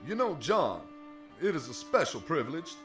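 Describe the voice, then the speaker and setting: Male actor as middle-aged prison official, condescending, stern, high lively pitch, audio drama, music underneath. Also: normal speed. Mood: smugly formal. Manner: condescending, triumphant.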